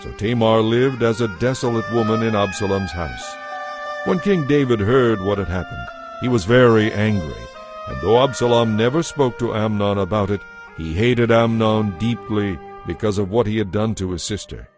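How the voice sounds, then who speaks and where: A man's voice: fairly low pitch, expressive, normal speed, over music. A man giving a solemn, scripted dramatic narration of scripture as storyteller.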